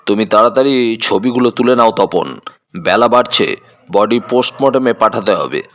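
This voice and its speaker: A man voicing a brisk, authoritative police inspector in an audio detective story, terse and businesslike. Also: fairly low pitch, animated, fairly bright tone.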